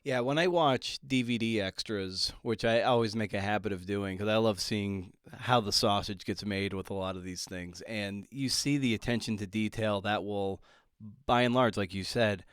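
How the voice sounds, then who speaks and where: A male interviewer with a lowish, very clear and smooth voice, recorded dry and close. He chats casually as the host of a podcast. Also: normal speed, fairly bright tone, no echo.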